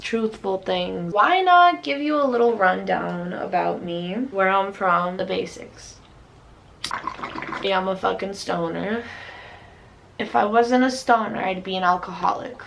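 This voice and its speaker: A woman's voice: medium pitch, creaky, a bit bright. A young woman from the United States talking casually and frankly to the camera, swearing often. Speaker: vlogger.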